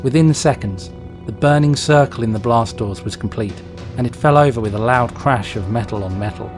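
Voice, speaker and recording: A man's voice: mid-range voice, normal speed, faint music behind. A man reading an action story aloud as narrator, in a dramatic scripted style. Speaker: fan fiction reader, narrator.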